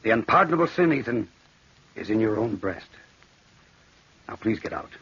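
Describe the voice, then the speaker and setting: A man's voice: on the low side, big pitch swings, moderate pace, fairly bright tone, breathy edge, exaggerated voice. An actor playing a stern, grave, accusing man in 1940s radio drama, on a dull old recording. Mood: grave, disgusted. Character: Barton, stern, appalled brother and kiln owner.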